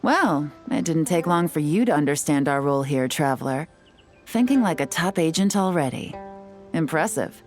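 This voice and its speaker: A woman's voice: low voice, lively intonation, normal speed, darkish tone, faint music behind. A woman playing a poised, shrewd, confident secret agent in a video game, composed and coolly instructive. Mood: assured, instructive.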